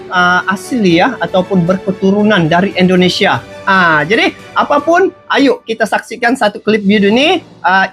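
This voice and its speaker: A woman's voice: mid-range voice, fairly bright tone. A woman from Sabah with a Sabah Malaysian accent, giving casual, conversational reaction commentary as a channel host, speaking directly to viewers. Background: music.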